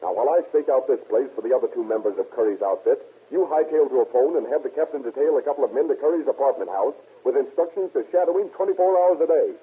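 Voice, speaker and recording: An actor playing a shrewd, hard-nosed American police detective in a 1930s radio crime drama, brisk and insistent. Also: male voice, mid-range voice. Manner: brisk, insistent, matter-of-fact. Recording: muffled, raspy old radio recording.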